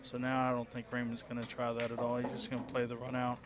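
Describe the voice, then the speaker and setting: A man with a Texan accent giving relaxed, explanatory color commentary on a pool match, using folksy sayings. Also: mid-range voice, fast. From Texas. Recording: noisy crowd in the background.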